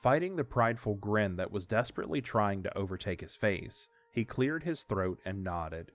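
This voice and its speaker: A man's voice: on the low side, animated, moderate pace, no echo, soft background music. A man reading scripted story narration as the narrator of an audiodrama.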